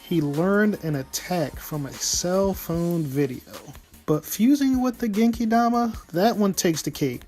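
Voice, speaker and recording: A man with a fairly high voice delivers an excited, casual anime review as an online video host. Music plays behind him. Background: background music.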